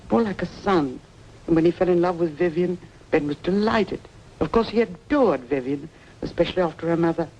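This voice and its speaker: An actress playing a kindly, gossipy older aunt in a mid-century drama, confiding and hesitant in a creaky voice. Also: female voice, fairly low pitch, a little mellow, lightly acted. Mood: wistful gossip. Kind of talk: mid-century American film drama acting. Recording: dull, noisy vintage soundtrack.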